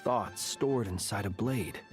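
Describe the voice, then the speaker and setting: A man voicing a courteous, cautious knight in a fantasy video game, measured and reflective. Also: on the low side, music in the background. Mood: pensive.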